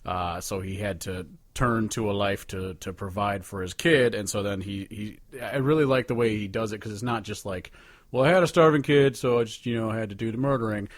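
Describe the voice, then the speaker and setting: Low-voiced man rambling casually, dry close sound. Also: a little fast, no echo. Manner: rambling, profane, conversational. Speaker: podcast co-host. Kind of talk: casual chat, ranking songs with commentary.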